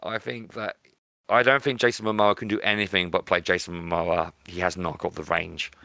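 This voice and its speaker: A man's voice: low-pitched, level tone, a little fast, vocal fry, pushed and bright, no echo. A British man co-hosting a podcast, chatting casually about films in a blunt, opinionated, sweary way. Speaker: podcast co-host. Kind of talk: casual film discussion.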